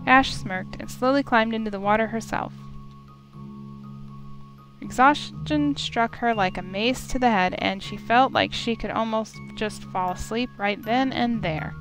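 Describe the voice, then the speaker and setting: A woman's voice: fairly high pitch, measured pace, music in the background. A woman reading scripted narration for a visual novel as its storyteller.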